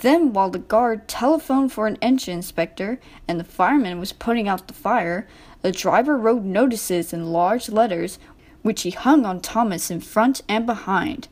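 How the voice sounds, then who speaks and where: A woman's voice: mid-range voice, expressive, normal speed, a little mellow. A woman reading a scripted children's story as narrator.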